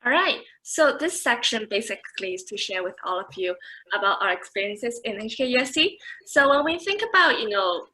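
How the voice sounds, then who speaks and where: A woman's voice: a little high, lively intonation, brisk, bright, forceful tone, rough recording. A young woman, a final-year student, moderating a student sharing session on a video call with many ums. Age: 20s. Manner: friendly, filler-heavy.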